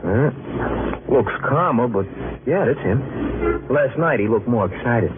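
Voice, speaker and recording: An actor playing a chatty, easygoing New York cab driver in a 1940s radio drama, with a folksy, halting, streetwise way of talking. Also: male voice, medium pitch, expressive, lightly acted. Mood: casual, eager. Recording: noisy, tinny 1940s radio broadcast.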